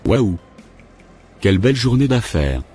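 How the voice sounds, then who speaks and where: A man playing a wayward, sheepish young swindler with a husky voice in an amateur cartoon, music behind him. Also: lowish voice, big pitch swings, measured pace, dark tone, full character voice. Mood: pleased. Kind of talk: amateur animated drama acting. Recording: background music.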